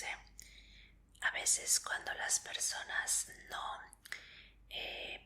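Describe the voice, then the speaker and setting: A woman in an ASMR roleplay as a medical examiner, speaking in a breathy whisper with a gentle, polite, reassuring manner.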